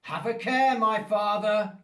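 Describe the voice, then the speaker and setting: A lonely brooding monster in a radio drama, really slow n grand, echoey room. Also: male voice, high-pitched, fairly bright tone. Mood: menacing. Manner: slow, grandiloquent, pleading and menacing delivery. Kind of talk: theatrical radio play acting. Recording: roomy echo, distant from the mic.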